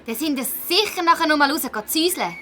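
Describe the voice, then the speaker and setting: A woman voicing an eager, determined schoolgirl detective in a children's audio drama, emphatic and expressive, with a Swiss German accent. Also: high-pitched, quick pace, a little hiss or hum. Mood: accusing.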